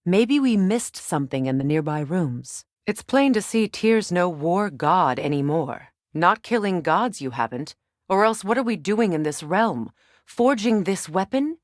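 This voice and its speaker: A battle-hardened goddess and sorceress for a dramatic video game. Her voice sits low for a female, recorded close and dry. She speaks in a measured, pointed way, as a wary ally who keeps her guard up. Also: expressive, dry recording. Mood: pointed, challenging.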